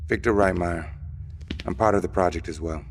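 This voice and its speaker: Man playing a low, gravelly, cagey double agent. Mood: dryly polite. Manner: measured, evasive, dryly polite. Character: cagey, coolly polite male investigator and double agent. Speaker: voice actor in an audio drama. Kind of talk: audio drama acting. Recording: reverberant hall with background noise.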